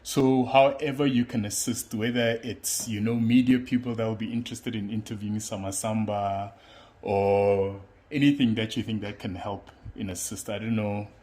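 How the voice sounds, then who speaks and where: Guy hosting a chill interview, fairly low voice, some ums. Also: steady tone, normal speed, a little mellow. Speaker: interview host. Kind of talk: casual interview.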